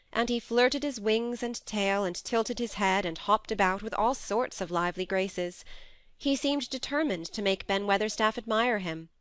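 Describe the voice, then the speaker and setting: A woman reading a classic novel aloud as a steady, measured audiobook narrator on a close mic. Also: medium pitch, clean tone, no echo.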